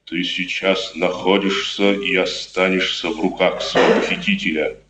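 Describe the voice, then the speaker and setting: An actor playing a grave, tormented older father and proud nobleman, solemn and deliberate in 1950s radio drama style. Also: sits low, little pitch movement, natural voice. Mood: grim. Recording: noisy, echoey 1950s radio recording.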